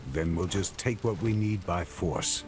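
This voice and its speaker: Very deep, stern warrior. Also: male voice, measured pace, loud music behind the voice. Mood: commanding. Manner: curt, stern, pragmatic. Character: gruff, hard-bitten armored male warrior bent on war. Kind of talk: dramatic video game acting.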